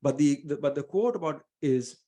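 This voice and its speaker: Indian accent guy, middle aged, mellow, earnest, lots of ums, interview guest. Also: on the high side, expressive. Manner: earnest, measured, many fillers. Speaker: venture investor guest. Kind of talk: reflective interview answers.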